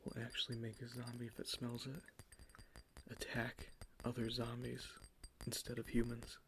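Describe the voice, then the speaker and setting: Soft, gravelly whispering man. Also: slight background noise. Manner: soft-spoken, drifting between quiet talk and whisper; salesman's pitch. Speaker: ASMR creator playing a cologne salesman. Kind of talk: ASMR roleplay.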